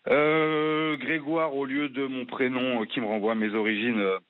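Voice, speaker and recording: A man's voice: medium pitch, level tone. A man calling into a radio show, giving an impassioned, indignant testimony. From France. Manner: impassioned, indignant, rapid-fire. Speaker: caller. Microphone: phone. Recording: muffled lo-fi phone line.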